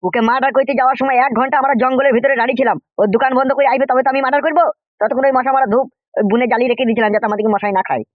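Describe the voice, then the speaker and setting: Man as a crude cartoon henchman, high voice, chattering very fast, fawning, lo-fi muffled audio. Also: fairly even intonation, no echo. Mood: defensive. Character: crude, eager henchman loyal to his crooked boss. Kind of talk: animated comedy cartoon dialogue.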